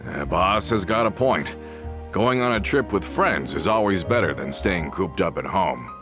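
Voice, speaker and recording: A man's voice: deep voice, expressive, unhurried. An actor playing an earnest, loyal henchman in a video game, speaking in a formal, polite way. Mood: friendly. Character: courteous, earnest male henchman, loyal to his boss. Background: music.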